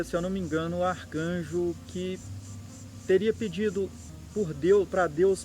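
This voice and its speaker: A Brazilian man hosting a channel, explaining at an unhurried pace and thinking aloud with small restarts. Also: on the high side. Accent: Brazilian Portuguese. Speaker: occult channel host. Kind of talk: explanatory monologue.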